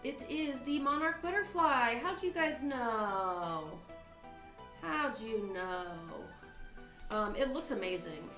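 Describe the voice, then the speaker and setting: A woman's voice: a little high, lively intonation, slow pace. A woman hosting a casual live-stream trivia game, reading questions aloud and bantering with her co-host. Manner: chatty, giggly, reads questions then banters. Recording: echoey room with faint music.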